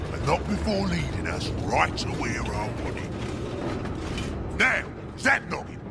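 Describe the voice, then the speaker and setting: Gravelly Cockney ork war boss. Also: male voice, fairly high pitch, wildly swooping pitch, slow pace, big-room sound, exaggerated voice. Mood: commanding, gloating. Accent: Cockney English. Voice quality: gravelly, growling. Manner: crude, boisterous bellowing. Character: brash, brutish male alien ork war boss, greedy and battle-hungry. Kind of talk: video game cutscene acting. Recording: loud music behind.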